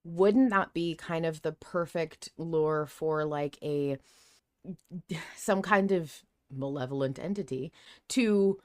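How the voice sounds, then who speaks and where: A woman podcast host in casual fan discussion, telling things in an animated way with quoted asides. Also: deep voice, slow, no room sound, studio quality.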